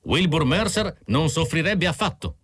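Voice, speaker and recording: A man's voice: fairly high pitch, expressive, normal speed, fairly bright tone, pitched above the performer's own voice. A man voicing a gushing, self-important television showman with a theatrical, emphatic announcer delivery, in an audiobook story. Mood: excited, showy.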